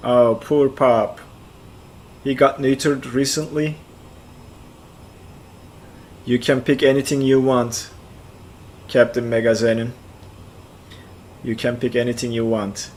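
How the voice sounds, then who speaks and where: A man in his forties from central Turkey, with a Turkish accent, chatting casually on a flight sim stream, answering chat aloud and thinking out loud. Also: mid-range voice, level tone, takes it slowly, long pauses, a little hiss or hum. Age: 40s.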